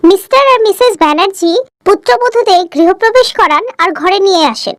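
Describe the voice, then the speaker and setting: A woman's voice: very high-pitched, lively intonation, a little fast, no room sound, studio quality. A woman narrating a scripted cartoon story.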